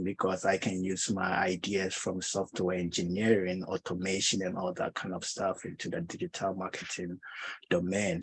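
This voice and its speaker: Low, even-toned Ghanaian man. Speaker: digital marketer and former IT consultant. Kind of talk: unscripted self-introduction in a meeting.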